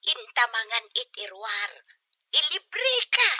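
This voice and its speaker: A woman's voice: fairly high pitch, big pitch swings, slow, sharp tone, breathy edge, no echo, exaggerated voice. A woman playing a good-natured, generous mother and best friend in an Ilocano-accented radio comedy drama. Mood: breezy, generous.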